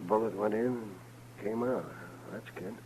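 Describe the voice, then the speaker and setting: A man's voice: fairly low pitch, steady tone, normal speed, mellow, lightly acted. A male actor with an American accent playing a kindly, practical frontier doctor in a radio western, dry and matter-of-fact. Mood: brisk, focused. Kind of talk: old-time radio western acting. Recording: noisy vintage radio recording with hum.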